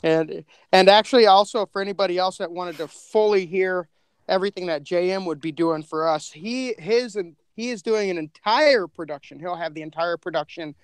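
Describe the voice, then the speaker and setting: A man's voice: high-pitched, normal speed, clean tone, no room sound. A man hosting a podcast, talking casually and informally with a group in slangy, chatty phrasing. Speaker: podcast host and co-creator. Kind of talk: casual group chat about a horror podcast.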